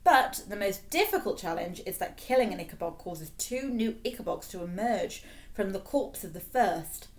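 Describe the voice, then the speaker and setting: A woman voicing a pompous, obsequious fake professor in a storybook, measured and self-important. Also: medium pitch, lively intonation, natural voice. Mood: lecturing.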